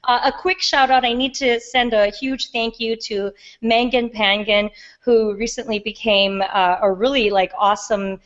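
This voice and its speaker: A woman hosting a podcast interview in a bubbly, excitable way, her voice hoarse and quick to laugh. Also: fairly high pitch, highs cut off. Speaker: podcast host. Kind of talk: casual interview chat.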